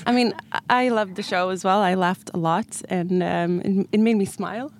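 A woman's voice: mid-range voice, moderate pace, slight background noise. A woman speaking casually as a guest in a podcast interview about her work and views. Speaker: chief executive, podcast guest.

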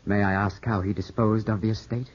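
On an old, muffled radio drama, a young English heir in grief. The voice is low and rough-edged. He is clipped, intense and full of suspicion. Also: little pitch movement, fairly quick. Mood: suspicious, tense. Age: 20s. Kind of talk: 1950s radio drama acting. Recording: muffled, scratchy 1950s radio broadcast.

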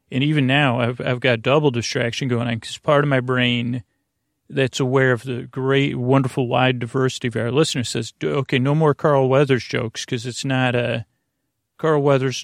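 A man with a gravelly voice hosting a sleep podcast in a meandering, halting monologue, often interrupting himself and drifting off on tangents. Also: mid-range voice, dry recording, clean studio sound. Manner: meandering, halting, digressive, trailing off and self-interrupting. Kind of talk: rambling bedtime podcast monologue.

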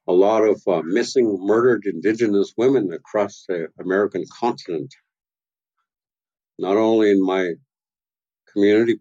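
Grave older man with a deep dark voice. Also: highs cut off. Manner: grave, measured, plain-spoken. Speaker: elder and canoe carver, interview guest. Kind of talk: slow reflective storytelling.

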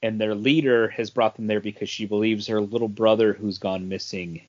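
A man's voice: lowish voice, steady tone, moderate pace, a little mellow, no room sound. Man in a dull, dry podcast recording. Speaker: podcast co-host. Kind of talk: casual comic book discussion.

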